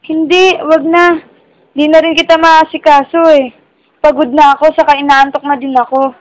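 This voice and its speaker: A woman's voice: sits high, steady tone, moderate pace, lightly acted. A woman playing a poor, hesitant young working student in a radio drama, polite and self-effacing, with a Filipino accent. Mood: reluctant, polite.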